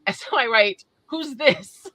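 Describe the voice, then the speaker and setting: A woman's voice: a little high, animated, slow, pushed and bright, no echo, poor audio. A woman from Massachusetts with a mixed Northern Massachusetts and Southern New Hampshire accent with Scottish and English traces, co-hosting a casual live stream, reading chat aloud and laughing often.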